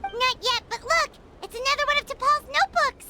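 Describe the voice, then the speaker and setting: A woman voicing a tiny, excitable, childlike flying companion in a video game, squeaky and eager, speaking in exclamations. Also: moderate pace, slight background noise, heavily acted. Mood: excited, urgent. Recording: loud game music over voice.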